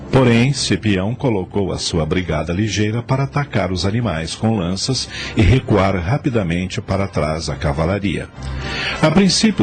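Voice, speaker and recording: A man giving scripted, dramatic narration for a radio drama. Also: low-pitched.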